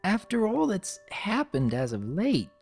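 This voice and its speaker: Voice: mid-range voice, huge pitch swings, a little slow, gravelly, darkish tone, faint music behind, voice pushed up, full character voice. A storyteller voicing a small young male dragon, earnest, nervous and affectionate, with a hesitant manner. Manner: stammering, hesitant.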